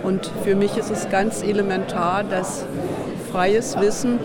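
A woman from Berlin answers interview questions in a measured, hesitant way, pausing on filler sounds. Her pitch sits in the middle range, and a loud crowd murmurs behind her. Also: steady tone. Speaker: interviewed attendee. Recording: busy crowd noise behind.

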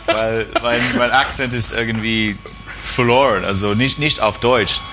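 A man's voice: mid-range voice, fairly even intonation, a little slow, heavy background noise. A man from Australia with an Australian accent, a native English speaker, chatting casually and searching for his words. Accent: Australian-accented German. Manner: hesitant, searching for words in imperfect German. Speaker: conversation participant. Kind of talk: casual chat.

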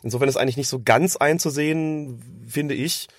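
A man, hoarse from a cold, giving long analytical explanations as a podcast co-host. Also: medium pitch, moderate pace, dry recording, clean studio sound. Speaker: podcast co-host discussing refereeing. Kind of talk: casual podcast chat.

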